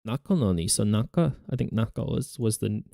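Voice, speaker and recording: A man's voice: lowish voice, animated, fairly quick, creaky, warm tone, dry recording, clean studio sound. A man learning a language on camera, repeating words after the audio and puzzling over grammar aloud in a casual way. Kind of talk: casual study vlog, thinking aloud.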